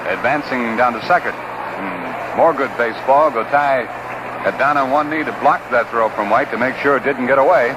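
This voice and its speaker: Male American color commentator, medium pitch, conversational sports talk, clear background noise, old radio broadcast. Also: moderate pace. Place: outdoor ballpark broadcast position. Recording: noisy 1960s radio broadcast.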